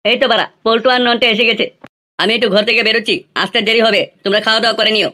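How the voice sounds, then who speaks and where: A woman's voice: a little high, steady tone, rapid-fire, sharp tone. A woman voicing cheeky, brash young village lads in an amateur comedy cartoon, with slangy, crude and insistent talk. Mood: pleading, insistent.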